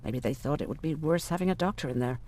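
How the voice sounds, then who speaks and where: A weary, bitter outcast doctor. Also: female voice, sits low, lively intonation, fast, a little mellow. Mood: bitter, reflective. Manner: reflective, bitter. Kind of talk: game voice acting, confiding dialogue.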